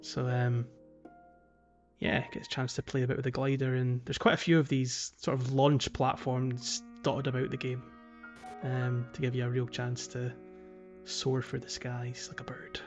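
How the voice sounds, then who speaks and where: A man co-hosting a casual gaming podcast, explaining things in a halting, conversational way. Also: mid-range voice, completely flat delivery, dull audio, over music. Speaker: podcast co-host. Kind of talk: casual video game podcast discussion.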